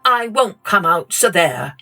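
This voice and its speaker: A woman's voice: on the low side, big pitch swings, slow pace, bright, forceful tone, exaggerated voice. A woman voicing a sulking male sun spirit in a children's story, stubborn and proud. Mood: sulky. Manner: sulky and stubborn.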